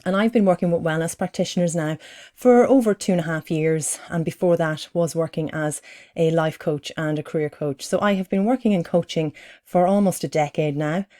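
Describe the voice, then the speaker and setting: Irish female business coach, thirties, low mellow voice, steady and encouraging delivery. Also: fairly quick. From Ireland. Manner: steady, encouraging, uses fillers. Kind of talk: presentation to camera.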